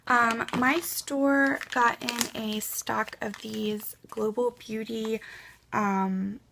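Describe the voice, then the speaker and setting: A woman's voice: on the high side, fairly even intonation, drawn-out words, creaky, noisy background. Chatty young woman, froggy from a cold. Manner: chatty, many ums and likes, soft asides. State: slightly hoarse from a cold. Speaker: beauty vlogger. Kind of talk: casual haul chat to camera. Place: bedroom at home.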